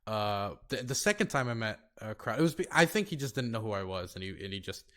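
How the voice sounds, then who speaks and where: A man's voice: on the low side, big pitch swings, fast talker, fairly bright tone. An American man hosting a podcast, talking casually and rambling with lots of fillers and restarts. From United States. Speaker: podcast host.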